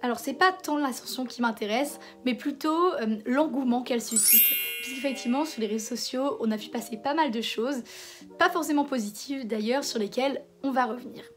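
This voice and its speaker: Hoarse woman talking to camera. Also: high voice, fairly quick, music in the background. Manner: conversational, hesitant fillers and restarts. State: hoarse from a cold. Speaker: video essayist host. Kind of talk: casual commentary video essay.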